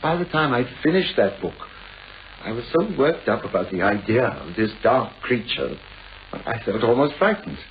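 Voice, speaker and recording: Radio play novelist: British, fussy, anxious, formal and hesitant, with very lively intonation, on a dull, hissy old recording. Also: male voice, medium pitch, moderate pace. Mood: confiding, troubled. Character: anxious, mannered male novelist, fussy and self-absorbed.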